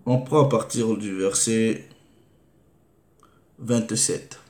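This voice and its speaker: A man preaching, reading scripture slowly and deliberately and asking rhetorical questions. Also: on the low side, fairly even intonation, darkish tone. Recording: echoey room.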